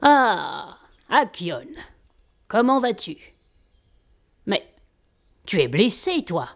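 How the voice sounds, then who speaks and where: A woman playing a kindly old master and healer in an audio drama, attentive and gently authoritative. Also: mid-range voice, very animated, drawn-out words, creaky, heavily acted. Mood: welcoming, caring.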